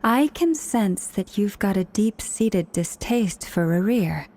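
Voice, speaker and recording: A woman voicing a serene priestess in a video game, calm, gracious and sincere, gently persuasive as she speaks. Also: mid-range voice, slow pace. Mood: assured, sincere.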